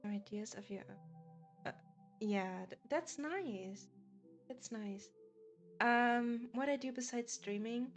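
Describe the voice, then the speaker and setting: A woman's voice: fairly high pitch, slow pace, long pauses, faint music behind. A woman from Germany with a German accent, chatting casually with viewers as a game streamer and laughing often. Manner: giggly, chatty, often laughs between sentences.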